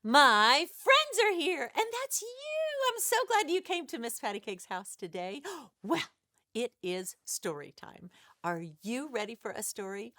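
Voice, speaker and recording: A woman's voice: fairly high pitch, very animated, unhurried, pushed and bright. A woman hosting an interactive read-aloud for young children, exaggerated and questioning as she addresses listeners directly. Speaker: children's storytime host.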